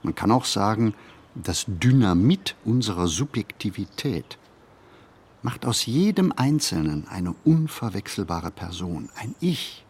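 A man performs literary prose as a theatrical monologue, with deliberate phrasing and dramatic pauses. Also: fairly low pitch, sing-song, darkish tone, breathy edge.

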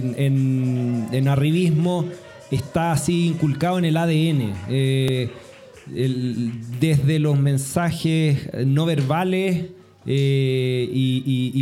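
A man's voice: mid-range voice, mellow. A man from Chile speaking in a discussion circle, reflective and hesitant, with many fillers and restarts. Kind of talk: reflective conversational talk.

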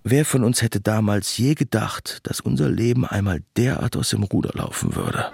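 A man's voice: lowish voice, wildly swooping pitch, moderate pace, vocal fry, full character voice. An actor playing a wry man who looks back on his village youth in literary, ironic radio drama narration. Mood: wry, storytelling. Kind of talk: scripted first-person radio drama narration. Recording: music behind the voice.

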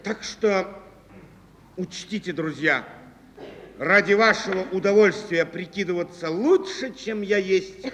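An actor playing a proud, defiant taiga transport boss with theatrical, emphatic delivery in a Soviet radio drama. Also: male voice, high voice, a bit bright. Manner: theatrical, emphatic, with deliberate pauses. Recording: echoey hall, faint noise, old archive.

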